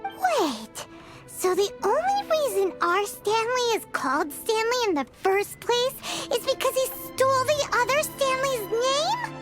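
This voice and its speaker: A very high squeaky fairy sidekick. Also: female voice, big pitch swings, unhurried, exaggerated voice. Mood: confused. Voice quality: squeaky, childlike. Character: tiny squeaky fairy companion, childlike, blunt and nosy. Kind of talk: video game voice acting. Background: faint background music.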